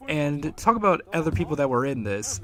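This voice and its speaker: A man giving a casual, unscripted solo commentary as a creator, in a rambling, halting way and often chuckling. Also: fairly high pitch, sing-song. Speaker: fan dub creator, commentator.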